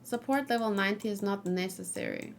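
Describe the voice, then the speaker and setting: A woman hosts a casual gaming stream in a medium-pitched voice. She thinks aloud and mutters to herself as she goes. There is light background noise, with keyboard typing and clicks. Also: brisk, gravelly. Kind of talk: casual live let's play chat, thinking aloud. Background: background noise, computer keyboard and mouse clicks.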